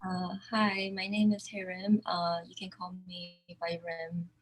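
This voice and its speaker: Slow, halting woman from South Korea. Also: on the low side, steady tone, poor audio. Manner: halting, searching for words. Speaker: artist. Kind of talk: virtual artist talk.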